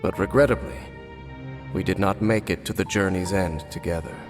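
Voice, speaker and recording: A weary, brooding swordsman, played by a man speaks in a measured, formal, somewhat low voice. His solemn lines hang in a long, ringing reverb. A clear game score sits underneath. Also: soft background music, close to an everyday voice. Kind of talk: game cutscene voice acting.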